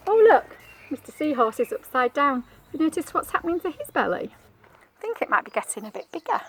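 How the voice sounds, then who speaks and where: A woman reading a picture book to young children in an expressive way, coaxing them with questions and exclamations. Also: sits high, fairly quick, slight background noise. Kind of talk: animated storybook read-aloud with asides to children.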